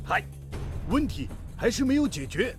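An actor playing a polite, deferential Japanese technical expert, a junior colleague, in a radio drama. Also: male voice, very high-pitched, sing-song, normal speed, music in the background. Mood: anxious, dutiful.

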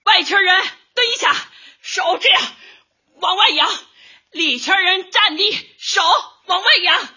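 A cheerful older neighborhood housewife in a radio comedy. She speaks in a very high, lively voice, earnest and chatty. The recording is slightly dull. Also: a little slow, heavily acted. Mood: enthusiastic presenting. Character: cheerful, earnest older housewife, community-minded dance organizer. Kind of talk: light comedy radio drama acting.

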